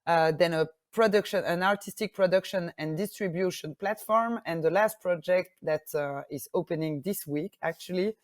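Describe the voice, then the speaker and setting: A woman's voice: on the low side, normal speed, hardly any pauses, no echo. Woman with a Belgian French accent. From French-speaking Belgium. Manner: steady, with frequent fillers and restarts. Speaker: conference presenter. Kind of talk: presentation talk.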